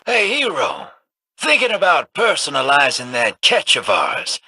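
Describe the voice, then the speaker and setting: Raspy, swaggering rogue. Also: male voice, fairly high pitch, wildly swooping pitch, a little slow, bright, forceful tone, dry recording, full character voice. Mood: playful, cocky. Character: cocky, swaggering roguish pirate-style buddy. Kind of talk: video game character acting.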